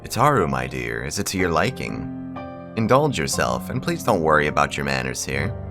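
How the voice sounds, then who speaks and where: A refined, wealthy young lady from a visual novel, as acted out by a man in a very low voice. She is intensely devoted and speaks with formal, gushing politeness, her pitch swooping expressively. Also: sing-song, moderate pace, over music, full character voice. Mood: devoted, grateful.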